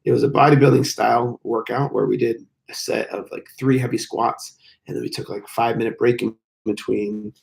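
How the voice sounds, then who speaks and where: Male fitness coach, hoarse and gravelly, casual rambling live-stream chat, mid-pitched voice. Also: fairly even intonation, fairly quick, a little mellow, low-quality recording. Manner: rambling, frequent fillers. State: hoarse, losing his voice.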